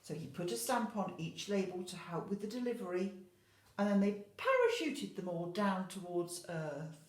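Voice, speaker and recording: Animated woman storyteller in an echoey room. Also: mid-range voice, normal speed. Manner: expressive, addresses children with questions and asides. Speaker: infant school teacher reading a story. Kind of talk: animated picture-book storytelling to young children. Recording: roomy, echoey indoor sound.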